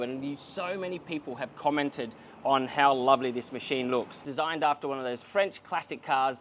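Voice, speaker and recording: A man's voice: fairly high pitch, slight background noise. A young adult man with an Australian accent, reviewing a coffee machine to camera in an enthusiastic, explanatory, chatty way.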